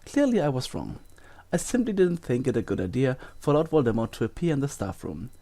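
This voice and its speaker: A warm, mellow male professor, acted by a man, with expressive rises and falls. He sounds gentle and thoughtful, a kindly teacher in an audio drama. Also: mid-range voice, brisk. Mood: thoughtful, calm. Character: kindly, mild-mannered male wizard professor. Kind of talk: fan audio drama acting.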